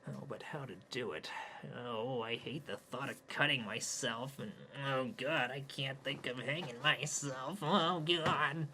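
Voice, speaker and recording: A man's voice: medium pitch, a little slow, bright, forceful tone, a little hiss or hum, voice pushed up, poor audio. A man voicing a pathetic, self-pitying young man who whines and hesitates with sighing "oh"s. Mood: whiny. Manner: whiny, sighing, hesitant with many "oh" and "uh".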